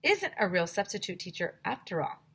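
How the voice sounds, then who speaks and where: A woman's voice: mid-range voice, sing-song, fairly quick, fairly bright tone, husky, exaggerated voice. A woman voicing a cheeky, teasing schoolboy who exclaims mischievously in a children's storybook. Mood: scheming.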